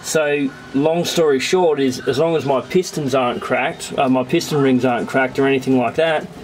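A man's voice: medium pitch, moderate pace, a little hiss or hum, poor audio. Laid-back Australian man explaining things. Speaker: sailing vlogger. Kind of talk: casual explanatory vlog talk. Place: aboard a small sailboat, in the cabin and on deck.

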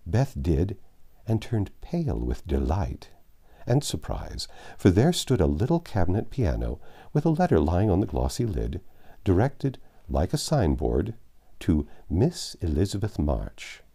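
A man's voice: low-pitched, huge pitch swings, unhurried, mellow, husky. A man in his sixties reading a classic novel aloud at home on a lapel mic, giving the characters' lines in a raised voice. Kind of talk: storybook read-aloud narration. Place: home library with bookshelves and armchair.

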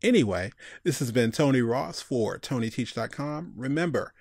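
A man's voice: medium pitch, expressive, moderate pace, gravelly, a little mellow, husky, no room sound, clean studio sound. A man giving a casual screencast tutorial, informally talking through each step and thinking aloud. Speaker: tutorial instructor.

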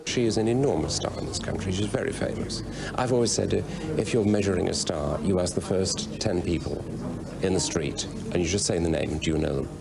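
A man giving a deliberate, emphatic interview soundbite. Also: deep voice, gravelly, a crowd in the background. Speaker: pantomime director and dame. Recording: noticeable background noise.

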